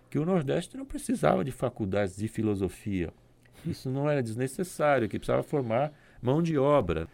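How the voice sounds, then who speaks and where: A man talks through his points slowly and carefully as a guest on a discussion program, often restarting his sentences. His voice is gravelly with plenty of fry, sitting at an ordinary mid pitch. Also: mellow, no echo. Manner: measured, explanatory, with hesitant restarts.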